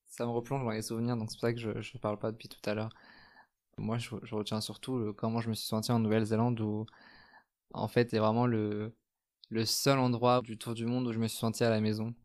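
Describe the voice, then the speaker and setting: Soft-spoken young man, hesitant. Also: fairly low pitch, little pitch movement, a little fast. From France. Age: 20s. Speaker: guest. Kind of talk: reflective personal testimony.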